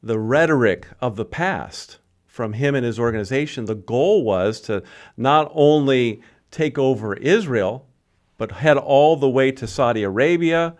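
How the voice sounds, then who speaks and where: A man's voice: medium pitch, lively intonation, unhurried. A man co-hosting a podcast, giving conversational commentary on current affairs. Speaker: podcast co-host.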